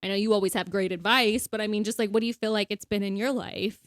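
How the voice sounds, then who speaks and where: A woman's voice: medium pitch, fairly even intonation, fast talker, no echo, clean studio sound. A woman co-hosting a casual faith-based podcast, talking in an earnest way and quoting scripture. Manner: earnest, quotes scripture, laughs easily. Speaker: podcast co-host.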